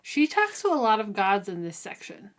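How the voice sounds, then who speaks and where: A woman's voice: mid-range voice, very animated, normal speed. A woman co-hosting a book discussion in a casual, conversational way. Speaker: podcast co-host.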